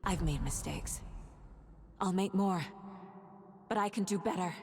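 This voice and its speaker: An actress playing a determined woman detective in a video game, thinking aloud in short, intense, measured sentences. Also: mid-range voice, very noisy, close to an everyday voice. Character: determined woman detective reasoning through a case. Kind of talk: dramatic game monologue.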